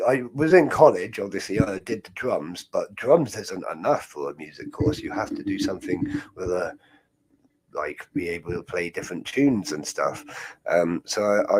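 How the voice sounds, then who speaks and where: A young British man hosting a livestream, chatting in a loose, rambling way with frequent laughs. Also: deep voice. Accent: British English. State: chest infection. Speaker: host. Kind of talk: casual livestream chat.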